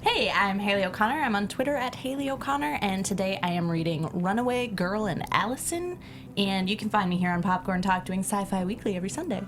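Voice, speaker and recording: Mid-pitched woman introducing herself casually. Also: brisk. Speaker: cast member introducing herself.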